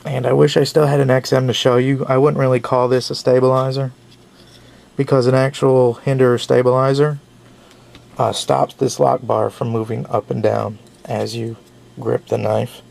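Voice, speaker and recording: A man's voice: medium pitch, little pitch movement, normal speed, gravelly, a little mellow. A man, a little sick and losing his voice, giving a rambling casual knife review with frequent self-corrections.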